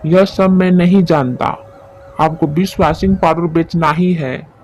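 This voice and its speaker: A man's voice: fairly high pitch, steady tone, a little slow, a little mellow, lo-fi sound, slight background noise, natural voice. A man playing a stern, uncaring sales manager in a cartoon moral story, blunt and commanding. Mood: stern, threatening.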